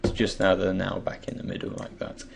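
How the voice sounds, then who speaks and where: A young man with a croaky voice, just woken up, thinking aloud with many pauses as he talks through a software tutorial. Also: low-pitched, completely flat delivery, slight background noise. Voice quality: croaky, gravelly. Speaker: tutorial creator. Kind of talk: screen-recorded software tutorial.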